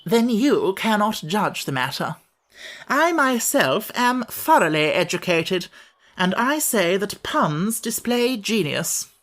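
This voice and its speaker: A man's voice: high-pitched, sing-song, slow pace, fairly bright tone, pitched above the performer's own voice, full character voice. A man voicing a pompous, over-educated male insect who lectures stiffly and firmly.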